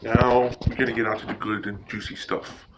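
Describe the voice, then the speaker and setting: A man hosting a casual tutorial, speaking informally and haltingly, often repeating himself. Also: on the low side, flat delivery, a crowd in the background. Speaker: tutorial host. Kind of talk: casual screen-recording tutorial. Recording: noisy recording with clicks.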